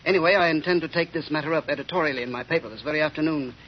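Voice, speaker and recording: A man's voice: fairly high pitch, rapid-fire, natural voice. A man playing a pompous, shifty newspaper publisher with a formal, blustering manner in an old radio drama. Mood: smooth, officious. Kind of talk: 1930s radio drama acting. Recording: muffled, hissy old radio recording.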